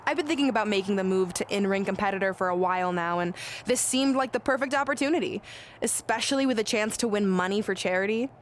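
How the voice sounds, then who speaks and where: A woman acts a self-satisfied, scheming wrestling boss for a game cutscene. Her medium-pitched voice is mock-sweet and taunting. Faint ambience runs behind it. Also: quick pace, a little hiss or hum, natural voice. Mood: smug. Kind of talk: video game cutscene acting.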